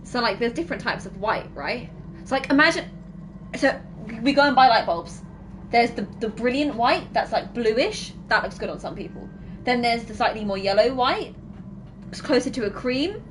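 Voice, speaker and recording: A woman's voice: a little high, animated, moderate pace, background noise. Chatty woman streaming a game. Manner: chatty, explains things at length. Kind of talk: casual chat while playing a game.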